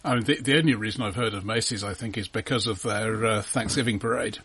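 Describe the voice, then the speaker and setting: A man co-hosting a podcast, chatting casually about films with dry, deadpan humour and a husky voice. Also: on the low side, quick pace, a little hiss or hum. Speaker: podcast co-host. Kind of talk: casual film discussion.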